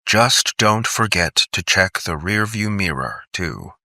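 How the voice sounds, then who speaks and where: A man voicing a cautious male doctor in an audiobook, measured and smooth, gently admonishing. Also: deep voice, expressive, dry recording, clean studio sound, heavily acted. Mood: quiet warning.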